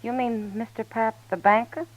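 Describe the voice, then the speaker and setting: A woman's voice: a little high, fairly even intonation, normal speed, lightly acted. A woman playing an easygoing, informal American frontier saloon woman in a 1950s radio western. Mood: puzzled. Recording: muffled 1950s radio recording.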